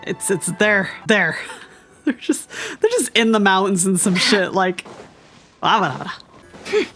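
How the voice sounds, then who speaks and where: A young female gamer commenting casually on what she plays. Her medium-pitched voice moves around a lot, slangy, irreverent and giggly. Game music sits in the background. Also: very animated, takes it slowly, fairly bright tone. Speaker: gaming streamer.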